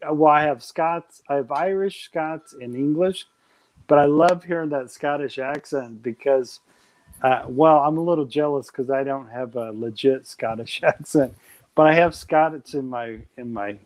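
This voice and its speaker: Man, stream host, casual chat, rambling, enthusiastic, mid-pitched voice. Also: a little slow. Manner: rambling, enthusiastic, many fillers and restarts.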